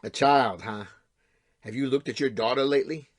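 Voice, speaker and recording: A man's voice: mid-range voice, moderate pace, close to an everyday voice. A man playing a taunting, wheedling estranged husband and father in an audio drama. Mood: challenging. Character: needling, mocking estranged husband and father, hard-drinking. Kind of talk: naturalistic audio drama acting.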